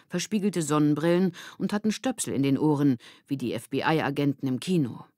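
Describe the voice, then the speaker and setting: A woman reading a children's audiobook as narrator, with clearly articulated, expressive storytelling. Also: deep voice, dry recording, clean studio sound. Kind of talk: scripted children's story narration with character voices.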